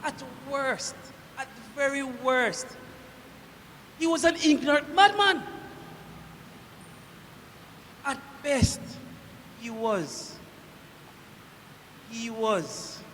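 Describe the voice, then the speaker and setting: A very high, bright voice rings through a long hall reverb. It is a proud Roman centurion, played by a man on stage, commanding and declamatory, yet shaken by guilt and dread. He speaks in slow, weighted phrases with dramatic pauses. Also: slight background noise, exaggerated voice. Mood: bewildered. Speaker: actor performing a dramatic monologue. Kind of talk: theatrical church monologue.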